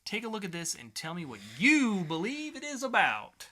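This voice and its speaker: A man's voice: sits high, wildly swooping pitch, normal speed, sharp tone. A man hosting a podcast segment in a casual, conversational style, sometimes swearing. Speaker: podcast host.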